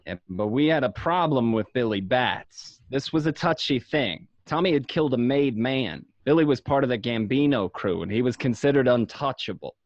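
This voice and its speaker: A man's voice: mid-range voice, animated, moderate pace, few pauses, no room sound, natural voice. An actor playing a blunt, matter-of-fact mobster narrating his life in an audio drama, recorded at home. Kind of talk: scripted first-person narration in an audio drama. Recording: dull, dry lo-fi home recording.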